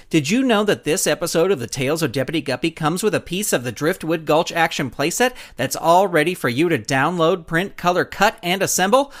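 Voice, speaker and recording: High male voice, animated, chirpy, folksy, scripted announcer for kids. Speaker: show announcer reading credits.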